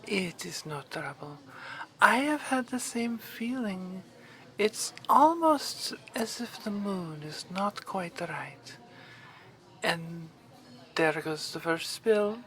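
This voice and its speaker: A woman's voice: mid-range voice, lively intonation, slow pace, fairly bright tone, poor audio. A woman playing a refined, haughty vampire baroness in an ASMR roleplay, courteous and formal in her wording. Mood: knowing.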